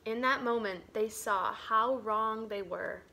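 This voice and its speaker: A woman reading a children's picture book aloud as a teacher would, dramatically, dropping to a hush and swelling for emphasis. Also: a little high, slow pace, creaky. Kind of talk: expressive children's storybook read-aloud.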